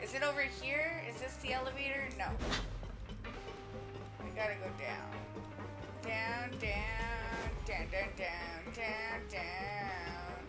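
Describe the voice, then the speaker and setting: A woman's voice: on the high side, slow. A woman streamer chatting casually to her live audience while she plays a video game. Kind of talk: casual livestream chat over gameplay. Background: game music.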